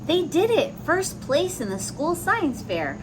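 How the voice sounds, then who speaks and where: A woman with a somewhat high voice reads a picture book to young children. She moves between the story text and plain little explanations of the pictures. The recording has noticeable noise behind her. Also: huge pitch swings, measured pace. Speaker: host reading children's picture books aloud. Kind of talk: children's read-aloud with simple asides.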